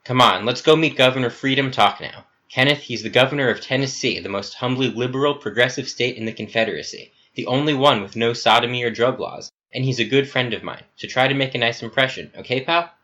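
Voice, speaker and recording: A man's voice: mid-range voice, brisk, hardly any pauses, pushed and bright, pitched above the performer's own voice, lightly acted. A man voicing a smug, scheming Survey leader who talks in a cool, self-assured, chummy way.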